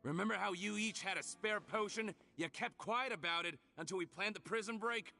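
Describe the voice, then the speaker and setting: A man's voice: sits high, brisk, vocal fry, bright, forceful tone, heavily acted. A man playing a cynical, self-serving escaped convict in a video game, talking in a sardonic, condescending manner. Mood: defensive, cold. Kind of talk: video game dialogue acting.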